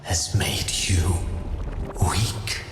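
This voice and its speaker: An ominous, godlike villain from a video game. His low voice moves very slowly and deliberately through grand, taunting pronouncements of doom. Music swells clearly behind him. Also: whispered. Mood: solemn, menacing. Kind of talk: theatrical video game monologue.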